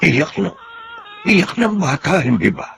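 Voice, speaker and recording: A man's voice: on the high side, very animated, measured pace, creaky, a bit bright, a little hiss or hum, exaggerated voice. An actor playing a humble, easygoing male rice farmer in a Filipino radio drama, speaking with a Filipino accent. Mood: urgent, surprised. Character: humble, good-natured countryman Andres, easygoing rice farmer.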